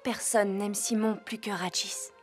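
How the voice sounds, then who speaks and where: A woman playing a wise, loyal, calm advisor in a dubbed animated adventure, earnest and composed as she instructs. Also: medium pitch, measured pace, soft background music, natural voice.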